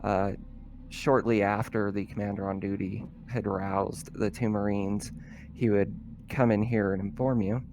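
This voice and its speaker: A man narrating a tabletop roleplaying game as game master, in an informal, halting way. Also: on the low side, level tone, a little mellow, a little hiss or hum.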